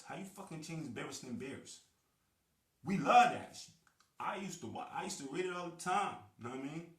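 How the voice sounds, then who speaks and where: A man talks straight to his viewers in loose, emphatic slang, circling back to the same points. His voice is of middle pitch and sits a little away from the mic. A hollow, echoey room surrounds it. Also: recorded from a distance. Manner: slangy, emphatic, preachy, repeats himself. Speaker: video blogger. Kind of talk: casual street-talk monologue to camera.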